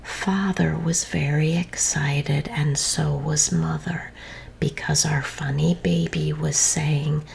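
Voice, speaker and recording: A woman reads a children's story in a soft, slow whisper, lingering over every phrase. Her voice sits low and carries a strong gravelly texture. A faint hiss of noise lies underneath. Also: fairly even intonation.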